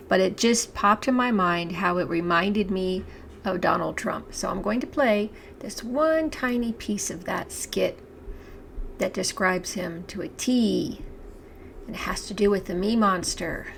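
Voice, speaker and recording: A woman, hosting her own channel, talking to camera in a chatty, halting way with a hoarse voice and frequent throat-clearing. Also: mid-range voice, a little hiss or hum. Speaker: channel host. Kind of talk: casual talk to camera.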